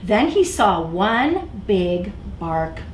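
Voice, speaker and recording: A woman's voice: mid-range voice, big pitch swings, very slow. A woman hosting a children's story time, reading aloud expressively and speaking directly to young listeners. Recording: echoey room, faint background noise.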